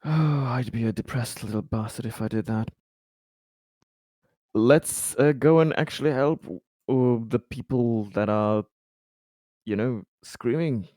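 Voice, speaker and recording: A man's voice: medium pitch, takes it slowly, a little mellow, no echo. A man playing a tabletop role-playing game, talking casually with a dry, wry manner.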